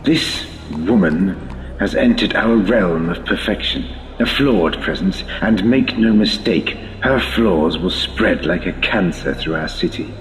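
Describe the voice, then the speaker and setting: A man's voice: sits low, animated, a little slow, reverberant, a little hiss or hum, low-quality recording. An actor playing a cold, commanding male cyborg leader giving a city-wide broadcast order.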